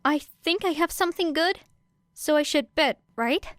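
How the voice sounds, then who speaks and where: A woman's voice: sits high, normal speed, a bit bright, dry recording, studio quality, voice pushed up. A woman voicing a masked young woman in an audiobook drama, playing naive and cheerful with hesitant, questioning speech. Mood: hesitant, falsely cheerful. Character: masked young woman feigning a clueless, cheerful card novice.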